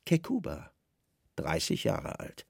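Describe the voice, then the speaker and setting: A man's voice: sits low, wildly swooping pitch, takes it slowly, vocal fry, a little mellow, breathy edge, dry recording, studio quality, pitched below the performer's own voice, full character voice. An actor playing a weary, imperious Roman governor in a radio drama, irritable, brooding and probing in his questions. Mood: solemn.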